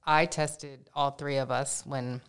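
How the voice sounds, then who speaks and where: A middle-aged woman, a podcast guest, telling stories in a chatty, animated way. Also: rumbling low, slow, smooth. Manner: chatty, animated storytelling with laughs. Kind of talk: casual conversational storytelling.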